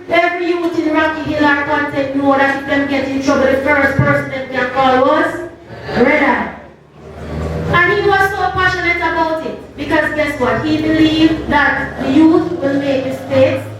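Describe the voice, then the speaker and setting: A Jamaican woman giving a funeral tribute, telling stories with sing-song emphasis. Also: sits high. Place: church service. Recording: echoey church from far off, noisy.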